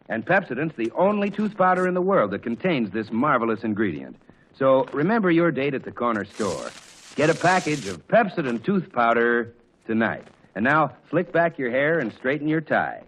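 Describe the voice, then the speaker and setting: A man's voice: fairly high pitch, muffled recording, a little hiss or hum. A man announcing and narrating a 1940s radio show in a polished, scripted sales style.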